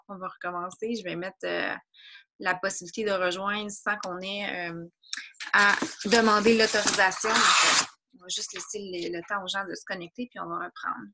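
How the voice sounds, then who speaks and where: A woman from Quebec speaks with a clear Québécois French accent, hosting an online info session as a wellness coach. Her voice is bright and forward, and her talk is casual and full of fillers and restarts. She is heard through a computer mic on a video call. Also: medium pitch. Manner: chatty, many fillers and restarts. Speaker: online wellness coach presenting a business opportunity.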